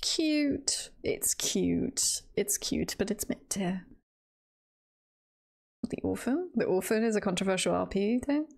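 A woman is chatting casually on a livestream at a leisurely pace. Her medium-pitched voice has plenty of vocal fry. She thinks aloud in a playful, hesitant, giggly manner. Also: animated, slow pace, a little mellow. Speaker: streamer and voice performer.

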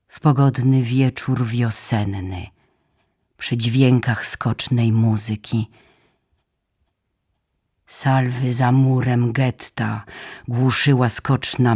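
A woman with a low, husky, breathy voice reads poetry in a solemn, dramatic way, phrasing deliberately in short measured lines. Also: darkish tone. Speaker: poetry reader. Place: radio theatre studio.

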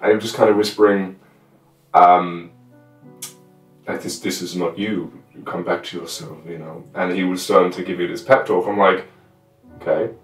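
A man in his twenties casually recounting a scene in a halting, informal way. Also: low-pitched, steady tone. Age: 20s. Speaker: guest, fellow live roleplayer. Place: small room at home with costumes. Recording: roomy echo, distant microphone, music underneath.

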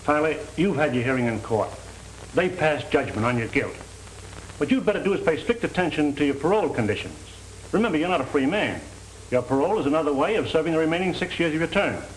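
A man's voice: fairly high pitch, lively intonation, fast, lightly acted. A middle-aged man playing a stern, by-the-book parole officer in 1930s film style, with a firm, clipped delivery. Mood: stern advice. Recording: noisy 1930s film soundtrack.

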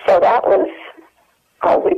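A woman in her eighties, speaking as an interview guest by telephone, hesitant and searching her memory with many fillers. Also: a little slow. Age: 80s. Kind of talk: phone interview. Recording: muffled telephone line.

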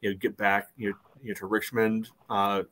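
Deeper voiced guy on a sports podcast, casual, says you know constantly. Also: flat delivery, a little fast, a bit bright. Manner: peppers speech with "you know". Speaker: soccer podcast co-host. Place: indoors on a video call.

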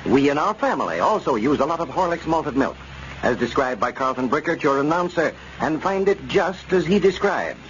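Male 1930s sponsor announcer, animated scripted read, middle pitch, noisy vintage recording. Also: moderate pace. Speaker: radio announcer. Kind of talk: 1930s radio sponsor announcement, reading a letter. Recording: muffled, noisy old radio broadcast.

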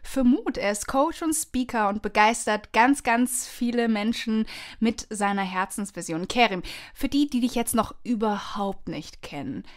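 A woman's voice: a little high, measured pace. A woman hosting an interview podcast in a personal, conversational style, with an effusive, gushing manner. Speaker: podcast host.

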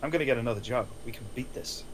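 A man's voice: mid-range voice, very fast, slight background noise, close to an everyday voice, poor audio. A man playing an earnest, pleading schemer in a screenplay table read. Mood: persuasive. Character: earnest, pleading young schemer boyfriend, and a casual detective.

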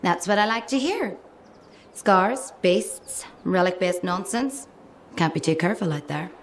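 An actress voicing a brisk, sardonic mechanic in a video game, with deadpan, teasing delivery. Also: female voice, lowish voice. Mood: dry, brisk. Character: dry, brisk, sardonic female suit mechanic. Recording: game audio with ambient background noise.

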